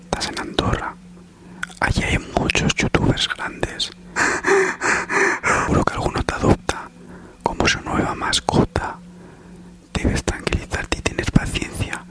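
A man in his thirties with a Spain accent whispers a mock-soothing, teasing ASMR comedy monologue. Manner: slow soothing delivery with mock-reassuring teasing. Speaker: ASMR parody YouTuber. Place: small living room at home, on a sofa.

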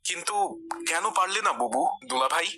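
A man's voice: fairly high pitch, animated, a little fast, sharp tone, slight background noise. A man voicing a pious, earnest village uncle in a cartoon. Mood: admonishing.

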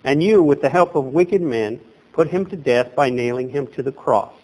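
A man in his thirties preaching a sermon from a lectern in a small chapel, animated and emphatic, recorded by a camera at a distance. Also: mid-range voice, normal speed. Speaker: pastor.

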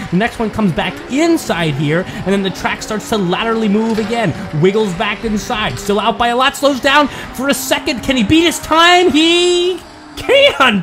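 A man giving play-by-play commentary on a toy car race, building excitement at close finishes. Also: very high-pitched.

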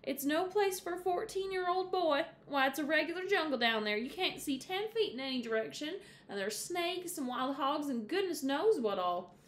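A woman's voice: high voice, expressive, moderate pace, few pauses, fairly bright tone, pitched above the performer's own voice. Woman putting on a fretful mountain mother. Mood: worried. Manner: anxious, scolding. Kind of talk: storybook read-aloud.

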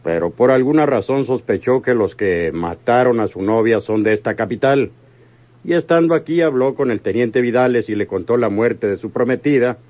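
An actor playing a cold, commanding crime boss in a Mexican radio serial, deliberate and authoritative. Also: male voice, medium pitch, darkish tone, lightly acted. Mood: grave, scheming.

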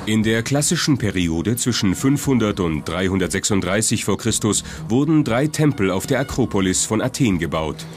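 A man narrates a scripted documentary in a measured, dramatic way. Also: lowish voice, animated. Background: prominent background music.

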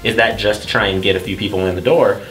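A man in his thirties co-hosting a gaming show in casual chat, animated, slangy and joking. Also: on the low side, fast. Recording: roomy echo, music underneath. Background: music.

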